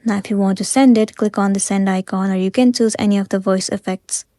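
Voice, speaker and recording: A woman's voice: medium pitch, steady tone, brisk, warm tone, no room sound, clean studio sound. A woman narrating a step-by-step tutorial in a plain-spoken, instructional way, as a scripted voice-over.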